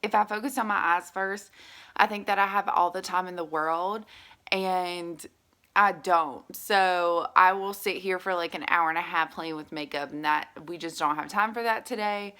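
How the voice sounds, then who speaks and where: Girl vlogging her makeup, bright tone, a bit stuffy and sore-throated, lowish voice. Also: steady tone, normal speed, clear, resonant tone. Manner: chatty, upbeat, lots of fillers. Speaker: beauty vlogger. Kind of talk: casual makeup tutorial chat.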